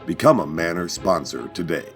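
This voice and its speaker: A man's voice: sits low, lively intonation, normal speed, music in the background. A man reading a scripted promotional announcement as an announcer.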